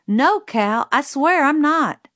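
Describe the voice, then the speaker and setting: A woman's voice: high-pitched, lively intonation, slow pace, fairly bright tone, no room sound, clean studio sound, pitched above the performer's own voice, full character voice. A woman voicing an earnest, insistent Southern boy in an audiobook, plain-spoken, with a Southern US accent. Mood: earnest, worried. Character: earnest Southern boy, older brother, worried and stubborn. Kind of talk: audiobook character voice.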